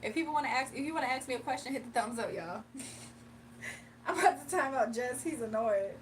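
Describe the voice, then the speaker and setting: A woman's voice: sits high, fairly quick. Chatty young woman streaming. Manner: chatty, laughs often, reads chat aloud. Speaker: livestream tarot reader. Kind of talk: casual live chat and tarot reading. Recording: distant mic with faint room noise.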